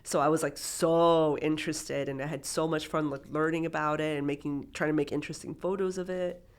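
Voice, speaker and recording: A woman's voice: low-pitched, steady tone, fairly quick. A woman in her thirties, born in Peru and raised in Miami, chatting casually as an interview guest, full of fillers and restarts. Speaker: documentary photographer, interview guest.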